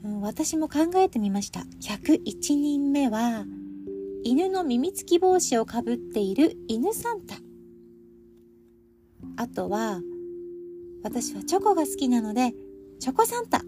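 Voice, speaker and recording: A middle-aged woman hosting a podcast alone, chatting politely and speaking directly to her listeners. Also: sits high. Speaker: podcast host introducing picture books. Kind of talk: chatty solo podcast talk.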